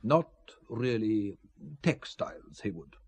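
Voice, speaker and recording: An actor playing a suave, menacing Italian count and shady art dealer in a spy thriller audio drama, urbane, deliberate and guarded. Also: male voice, fairly low pitch, lively intonation, darkish tone.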